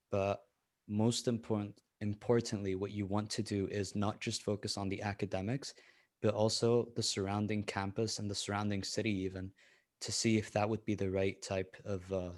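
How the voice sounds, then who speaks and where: Young male panelist, lowish voice, measured and slightly formal. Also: little pitch movement. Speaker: student panelist. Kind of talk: casual panel discussion.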